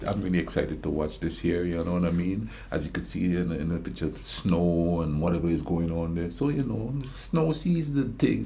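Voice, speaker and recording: Man from the Caribbean, reaction host, low warm voice, rambling casual chat. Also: gravelly, slight background noise. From Caribbean island. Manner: relaxed rambling with fillers.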